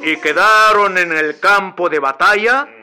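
An actor playing a proud Mexican revolutionary general, giving formal, emphatic testimony in a radio drama. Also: male voice, high-pitched, measured pace, bright, forceful tone, exaggerated voice. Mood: grave, emphatic.

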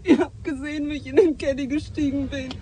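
Very high, plaintive young street transvestite. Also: male voice, steady tone, quick pace, dark tone, slight background noise. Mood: distraught. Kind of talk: German radio drama acting.

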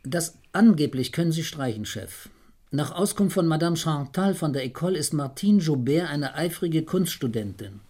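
An actor playing an eager police assistant in a radio crime drama, reporting in a dry, matter-of-fact, deferential way. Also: male voice, on the high side, expressive, moderate pace, darkish tone. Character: eager, dryly witty male police assistant detective.

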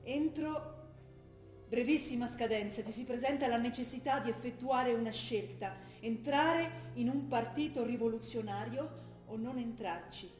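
A woman's voice: a little high, a little slow, darkish tone, close to an everyday voice. An actress playing a defiant young militant woman from who reads her letters and argues with her father. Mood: earnest. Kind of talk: stage play broadcast on radio. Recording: echoey stage recording with music.

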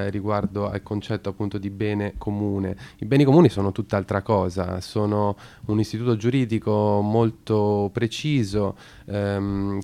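Gravelly low-voiced radio co-host. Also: male voice, a little mellow. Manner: hesitant, lots of fillers and restarts. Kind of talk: radio talk explaining a topic.

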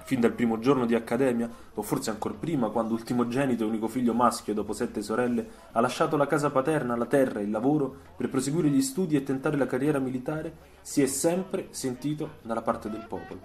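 A man narrating a scripted story for radio, in measured phrases with dramatic pauses. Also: medium pitch, a little mellow. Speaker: storyteller and author. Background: soundtrack music.

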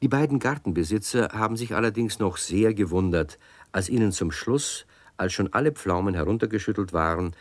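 Sex: man. Role: narrator. Style: scripted storybook narration. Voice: very low. Sound: dry, close. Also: fairly quick, no room sound.

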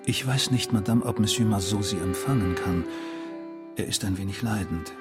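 A man acts a polite manservant in a German radio drama. His voice is low, formal and deferential, guarded and discreet. Prominent music plays behind it. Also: moderate pace, lightly acted.

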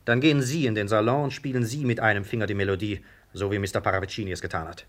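A man's voice: lowish voice, steady tone, racing, close to an everyday voice. Man acting a stern, insistent police sergeant. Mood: commanding. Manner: commanding, clipped, insistent. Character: stern, suspicious police sergeant interrogating suspects. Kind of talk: 1950s radio drama acting.

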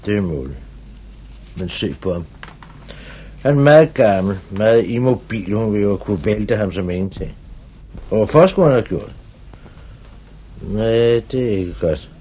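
An actor playing a brisk, probing police commissioner in a 1950s radio drama, with clipped, authoritative questioning. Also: male voice, fairly low pitch, expressive, warm tone, a little hiss or hum, close to an everyday voice. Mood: reasoning.

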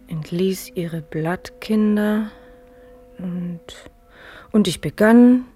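Slow, hesitant, husky night-guard woman. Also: mid-range voice, warm tone. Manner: hesitant, repeating words, musing. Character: lonely female night guard, self-mocking, timid, brooding. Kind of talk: German radio play acting.

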